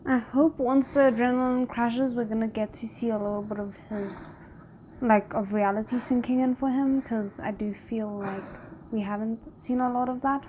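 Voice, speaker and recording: A woman's voice: a little high, steady tone, normal speed, a little mellow, slight background noise, rough recording. A woman giving casual, wry reaction commentary, putting rhetorical questions to the characters on screen. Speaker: reaction video host. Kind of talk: casual live reaction commentary to a drama series.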